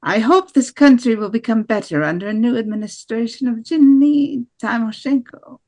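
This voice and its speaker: A woman's voice: a little high, normal speed, a little mellow, lightly acted. A woman with an American accent playing a defeated female nationalist presidential candidate in an amateur table read of a speech. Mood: formal, conceding.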